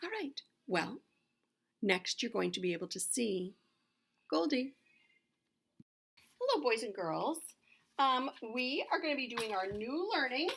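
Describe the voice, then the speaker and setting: Middle-aged woman, primary teacher reading aloud; bright voice with a creaky edge, clear emphatic explaining. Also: a little high. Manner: emphatic, explanatory, with questions to listeners. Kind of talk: read-aloud lesson for young children.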